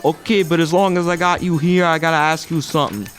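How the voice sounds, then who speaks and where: Young man as a higher-voiced, chatty pizzeria sidekick. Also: fairly even intonation, fast, a bit bright, faint music behind, lightly acted. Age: young adult. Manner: chatty, solicitous. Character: chatty, eager-to-please pizza parlor sidekick, male. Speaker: actor in a student audio play. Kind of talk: comic radio play acting.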